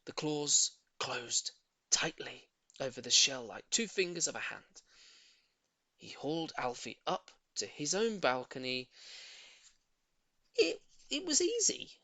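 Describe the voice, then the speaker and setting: A man narrates a children's storybook with theatrical emphasis. His voice sits a little high and swings widely in pitch, with a gravelly creak in places. He leans into key words expressively. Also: big pitch swings, slow pace, pauses often, sharp tone, dry recording. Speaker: audiobook reader.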